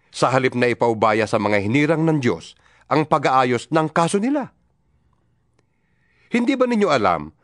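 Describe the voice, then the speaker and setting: A man's voice: medium pitch, no echo, clean studio sound. A man giving a dramatized, earnest reading of scripture, preaching with rhetorical questions. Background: background music.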